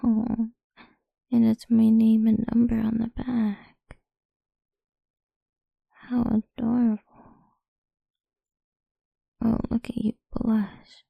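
A woman speaking in a soft, slow, coaxing whisper for a close-mic ASMR roleplay. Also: a little high, monotone, gravelly, no echo, narrow, tinny audio.

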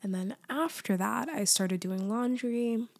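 Young woman, ASMR girlfriend role; soft and close-miked, slightly high voice, raspy and fading from a cold. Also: a little slow. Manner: soft, often whispered, drowsy. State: losing her voice, congested.

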